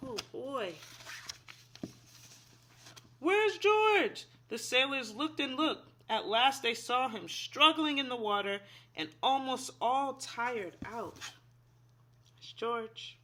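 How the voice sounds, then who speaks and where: A woman's voice: high voice, animated, takes it slowly, pauses often, bright, forceful tone, a little hiss or hum. A woman reading a children's picture book aloud expressively, in an instructive way, asking young listeners simple questions. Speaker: read-aloud storyteller, teacher.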